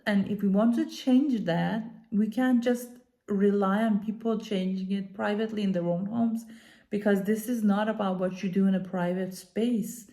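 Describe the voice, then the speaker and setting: Mellow-voiced woman from Iran, earnest and halting. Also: mid-range voice, fairly even intonation. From Iran, moved to Denmark as a child. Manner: earnest, halting, deliberate emphasis. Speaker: commentator, activist speaker. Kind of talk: talking to camera, unscripted opinion commentary.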